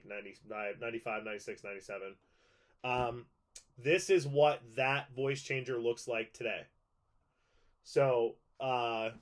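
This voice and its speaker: Man, casual presenter, collector's show-and-tell, conversational, informal, rambling delivery, mid-range pitch. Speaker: collector presenting a movie prop guide.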